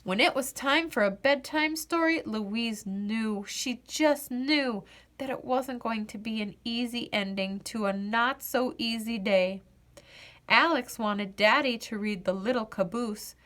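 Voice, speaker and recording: Casting: female picture-book narrator, higher register, very unhurried delivery, dramatic pauses. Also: fairly bright tone. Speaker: read-aloud storyteller. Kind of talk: children's picture book narration, expressive and deliberate.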